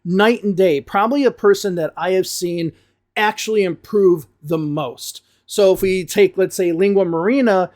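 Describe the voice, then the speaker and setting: An American man from the Chicago area, an English teacher giving a relaxed spoken review full of hedges. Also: high voice, normal speed. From Chicago area, USA. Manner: relaxed, talks things through with frequent hedges.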